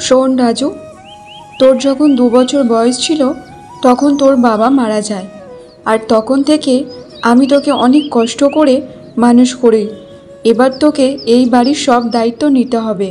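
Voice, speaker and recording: A poor, elderly village mother from a cartoon tale, played by a woman. Her voice sits fairly high with a soft, mellow tone. She pleads and nags with worried affection. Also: moderate pace, soft background music, close to an everyday voice. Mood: pleading, worried. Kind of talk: Bengali cartoon story dialogue.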